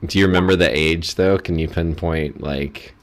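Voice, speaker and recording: A man with a very deep voice hosts a casual interview. He is encouraging and asks lots of questions, often stopping to rephrase one. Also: fairly even intonation, moderate pace. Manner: warm, encouraging, asks questions and restarts them. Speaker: podcast host.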